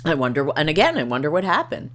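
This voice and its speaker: A middle-aged woman with a low-pitched voice hosts a livestream. She moves between reading aloud and casual commentary, wandering off into wry, chatty asides. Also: very animated, very fast, fairly bright tone, a little hiss or hum. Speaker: livestream host.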